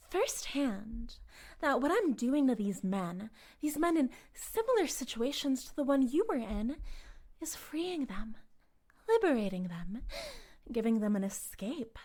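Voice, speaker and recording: A woman's voice: high voice, full character voice. A woman playing a charismatic, smugly menacing cult leader in a dramatic audio roleplay, speaking in a pompous, articulate and condescendingly persuasive way.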